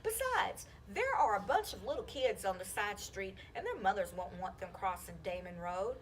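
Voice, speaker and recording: A woman's voice: mid-range voice, big pitch swings, moderate pace, slight background noise, lightly acted. A woman voicing a confident, persuasive young girl who explains insistently, in a children's storybook.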